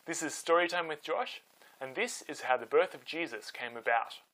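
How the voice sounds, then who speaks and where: A man hosting and telling scripted Bible stories for children in an expressive, dramatic way. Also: fairly high pitch, normal speed, low-quality recording. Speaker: storyteller and host.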